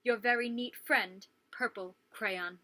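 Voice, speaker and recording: A woman's voice: on the high side, fairly even intonation, slow pace, pushed and bright. A woman voicing a fussy, neat purple crayon, prim and exasperated, in a picture book read-aloud.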